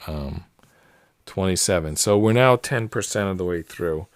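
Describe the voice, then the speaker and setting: A man's voice: low voice, normal speed, dry recording, clean studio sound. A man in his late forties chatting casually and rambling on tangents while working on a jigsaw puzzle. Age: 40s. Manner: rambling, relaxed, many fillers and tangents. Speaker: puzzle channel host. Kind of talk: casual solo commentary while solving a puzzle.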